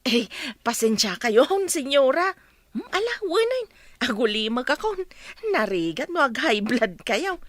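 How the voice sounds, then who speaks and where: A woman's voice: high-pitched, big pitch swings, a little slow, no room sound, full character voice. A woman playing a talkative, cheeky housemaid with an Ilocano accent in a comedy radio drama. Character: sassy, talkative housemaid, respectful yet cheekily outspoken.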